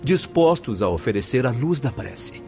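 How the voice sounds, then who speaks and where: An actor playing a compassionate, grave spirit counsellor in a radio drama, with a formal, oratorical delivery. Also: male voice, mid-range voice, very animated, moderate pace, a little mellow, faint music behind. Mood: solemn compassion.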